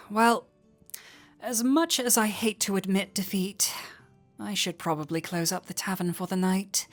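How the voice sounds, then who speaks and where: A woman playing a flirty, warm-hearted tavern innkeeper in an intimate roleplay audio drama, teasing coyly and playfully. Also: medium pitch. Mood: soft, reluctant. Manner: coy, playful, unhurried teasing.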